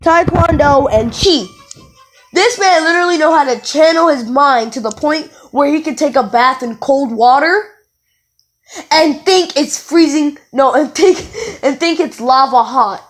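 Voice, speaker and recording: A woman telling casual stories to viewers in an animated, rambling way, often wandering off and correcting herself. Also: high voice, unhurried, soft background music. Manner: animated, digressive, self-correcting. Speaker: video creator telling stories.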